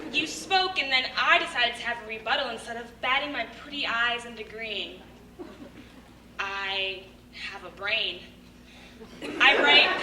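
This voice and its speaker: A young American woman delivers a theatrical monologue on stage, assertive and emphatic, in punchy statements with pointed pauses. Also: a little high, bright, forceful tone. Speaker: monologue performer. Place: stage at a live show. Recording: echoey hall from far off, faint noise.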